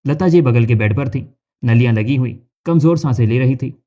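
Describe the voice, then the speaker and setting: A male narrator reads a scripted cartoon story. His pitch is average and his tone is soft and dark. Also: moderate pace.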